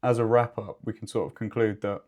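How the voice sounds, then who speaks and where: A man with a fairly low voice talks as the expert co-host of a business video. His delivery is conversational and explanatory, with measured, somewhat wordy phrasing. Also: little pitch movement, a little mellow. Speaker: podcast co-host and two-way radio expert.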